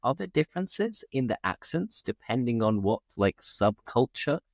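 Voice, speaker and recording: A man's voice: medium pitch, sing-song, moderate pace, no room sound, clean studio sound. A man with a British accent hosting a casual conversation, asking curious, easygoing questions. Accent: British English. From England. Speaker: podcast host, English teacher.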